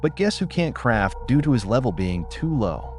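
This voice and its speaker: A man voicing a sharp-tongued, mocking companion who talks in a player's ear in a game audiobook, snappy and taunting. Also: medium pitch, very animated, moderate pace, faint music behind, lightly acted.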